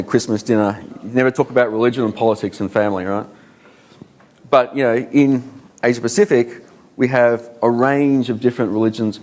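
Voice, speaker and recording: An Australian man speaking at a conference, talking through his points in a loose, conversational way. His voice sits at an ordinary middle pitch, and he often puts questions to the audience. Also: darkish tone. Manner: conversational, many fillers, rhetorical questions.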